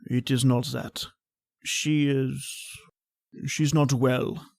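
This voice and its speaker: A man playing a secretive, anxious old father in his eighties in a radio drama, speaking haltingly in short pleas. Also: medium pitch, flat delivery, gravelly, a little mellow, dry recording, clean studio sound. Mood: pleading. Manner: halting, hesitant speech in short, simple pleas.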